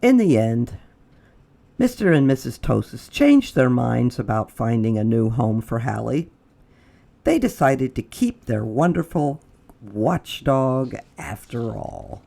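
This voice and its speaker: A woman reading a children's picture book aloud as a storytime reader, with expressive delivery, dramatic pauses and drops to a whisper. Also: very deep voice, vocal fry.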